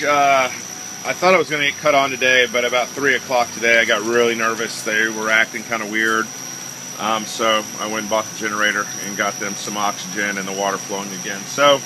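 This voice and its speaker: A man giving a casual, rambling video update on his fish tanks, with lots of fillers. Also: medium pitch. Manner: relaxed, rambling with many fillers. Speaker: aquaponics hobby farmer. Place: indoor grow room with fish tanks, briefly outdoors. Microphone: phone camera held while filming. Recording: noisy phone recording with pump hum.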